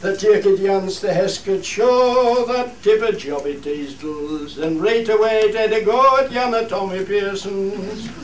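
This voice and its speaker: A man's voice: high-pitched, measured pace, few pauses. A man acting a homely country singer with a Cumbrian accent, performing a comic dialect ballad in a radio play. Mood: droll storytelling. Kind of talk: dialect radio play, spoken verse with sung choruses. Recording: noisy, echoey room, distant microphone.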